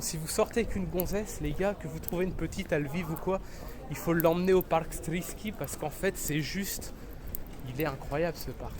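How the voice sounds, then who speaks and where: A young man casually vlogging while he walks through a city, rambling in crude slang. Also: on the high side. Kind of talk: casual walking vlog. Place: city streets outdoors. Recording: outdoor street noise. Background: traffic and street noise.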